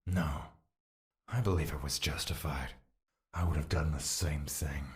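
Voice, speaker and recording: A man's voice: very deep voice, fairly even intonation, measured pace. A man playing an unruffled confidant to a prince in an audio drama, terse and matter-of-fact. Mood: dry agreement.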